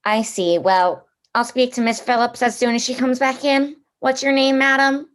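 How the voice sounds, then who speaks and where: An actress plays a hospital receptionist in a 1940s-style radio drama. Her voice is fairly high and clipped. She is brisk, formal and politely officious. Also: steady tone, close to an everyday voice.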